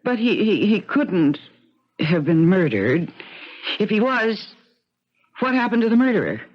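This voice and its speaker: Casting: fluttery rooming-house landlady, husky voice leaping up and down, anxious, courteous, vintage radio mystery style. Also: mid-range voice, big pitch swings, unhurried. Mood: disbelieving. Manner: hesitant, stammering, fluttery. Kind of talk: 1970s radio mystery acting. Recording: dull vintage 1970s radio broadcast.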